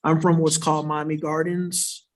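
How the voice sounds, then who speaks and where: A man's voice: a little high, little pitch movement, moderate pace. A man from South Florida on a church panel, answering an audience question in an earnest, explanatory way and often adding a questioning "right?" to his points. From South Florida, USA. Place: church worship center.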